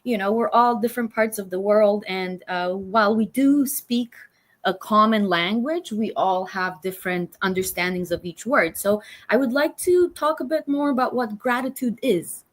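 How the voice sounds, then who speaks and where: A woman's voice: mid-range voice, normal speed. Chatty woman host. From Romania. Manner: enthusiastic, chatty, many fillers. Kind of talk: casual conversational interview.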